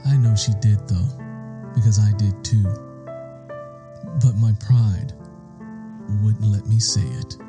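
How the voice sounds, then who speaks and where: A man narrating an audiobook as a guarded, lovestruck young man telling of his own romance. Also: on the low side.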